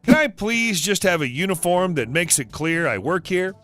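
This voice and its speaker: A man's voice: high-pitched, big pitch swings, normal speed, a bit bright, soft background music. A man narrating an online story in a matter-of-fact reading voice.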